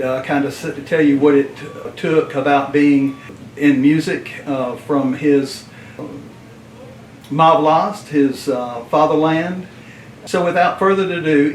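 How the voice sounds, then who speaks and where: A man leads an interview, with a slightly raised voice and plenty of filler words. He sounds far from the mic in an echoey room, and a faint hiss sits underneath. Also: on the high side. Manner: rambling, lots of filler and restarts. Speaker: interviewer, host. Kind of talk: friendly interview.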